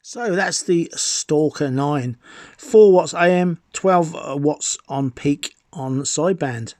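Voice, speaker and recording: A man's voice: fairly high pitch, slow, darkish tone, no room sound, clean studio sound. A middle-aged man presenting an enthusiast equipment review in an unscripted, somewhat hesitant explaining manner. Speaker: video presenter.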